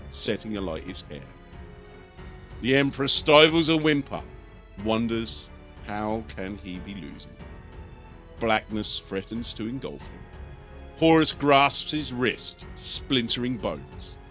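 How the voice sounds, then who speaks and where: Guy narrating an epic fight story, bright voice, goes loud then hushed, quiet music behind. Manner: measured, with sharp swings in pitch and loudness. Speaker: audiobook narrator. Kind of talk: dramatic scripted narration.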